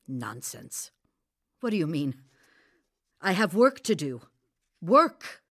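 A woman's voice: lowish voice, very animated, takes it slowly, a bit bright, no echo, studio quality, heavily acted. An actress playing a guarded, proud village healer in a radio drama, curt and evasive in her stern manner. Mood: defensive, agitated.

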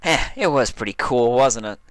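A man voicing a brash, excitable young female pegasus pony from a cartoon, gushing and exclaiming. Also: medium pitch, moderate pace, dry recording, pitched above the performer's own voice, close to an everyday voice. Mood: eager.

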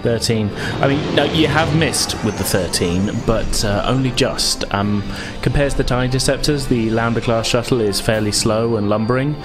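A man's voice: mid-range voice, normal speed, hardly any pauses, music in the background. A man running a tabletop roleplaying game, narrating combat and calling out dice results. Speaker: game master.

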